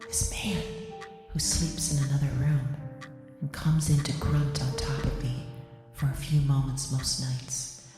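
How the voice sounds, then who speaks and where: Woman voicing a low, solemn trapped wife. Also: flat delivery. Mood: bitter. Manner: solemn, measured, intense. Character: young woman trapped in forced marriage, defiant. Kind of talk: audio drama monologue. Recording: heavy hall reverb with music underneath.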